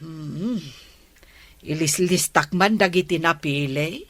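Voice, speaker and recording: A woman's voice: sits low, slow, fairly bright tone, no room sound. A woman with an Ilocano accent playing a patient, earnest village captain's wife in a radio comedy drama. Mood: patient, explaining.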